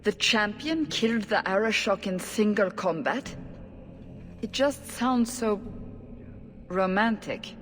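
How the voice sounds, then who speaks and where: A woman's voice: medium pitch, animated, slow pace, long pauses, a little hiss or hum. Stern female interrogator in echoing hall. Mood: challenging. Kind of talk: video game cutscene acting. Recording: long hall reverb.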